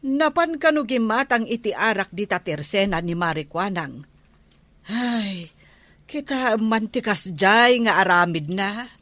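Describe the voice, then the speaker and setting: A woman's voice: medium pitch, expressive, unhurried, a bit bright, no echo. A woman with an Ilocano accent playing a nagging village wife in a comedy drama.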